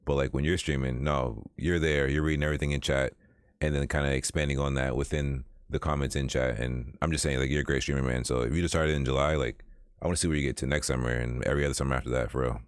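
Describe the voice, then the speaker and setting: A man's voice: a bass voice, fairly even intonation, fast talker, clean tone, dry recording, clean studio sound. A man hosting a podcast, talking in a laid-back, encouraging and slangy way. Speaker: podcast host. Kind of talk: casual chat.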